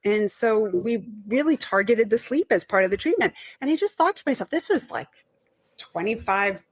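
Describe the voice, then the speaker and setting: A middle-aged woman, a sleep medicine expert, answering interview questions in a conversational way, often restarting her sentences, her voice slightly hoarse. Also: on the high side, lively intonation, normal speed. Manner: talks in restarts and self-corrections. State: voice going hoarse.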